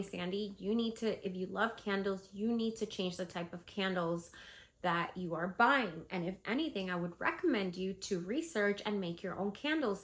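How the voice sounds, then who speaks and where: A woman with a stuffy, congested voice from a cold, chatting casually as she shares tips on selling at vendor events. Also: mid-range voice. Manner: chatty, animated, lots of fillers. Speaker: vlogger and candle seller. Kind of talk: casual advice vlog.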